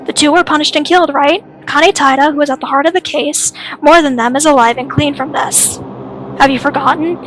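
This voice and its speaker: A woman's voice: high voice, steady tone, a little fast, a bit bright, faint music behind, rough recording. A woman voicing a cold, uncompromising young student leader in a drama, argumentative and stern. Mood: stern, accusing.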